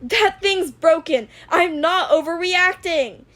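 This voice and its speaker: A woman voicing an eager, excitable teenage boy inventor in a fan audio drama, speaking in an earnest, emphatic way. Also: very high voice, takes it slowly, voice pushed up, exaggerated voice. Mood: agitated. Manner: emphatic, earnest, easily worked up.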